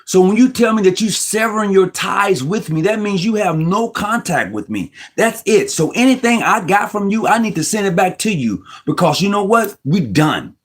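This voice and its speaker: A man's voice: high-pitched, big pitch swings, normal speed, hardly any pauses, slightly rough. A man hosting a casual discussion about Freemasonry, talking emphatically and often restarting his words as he argues. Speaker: host.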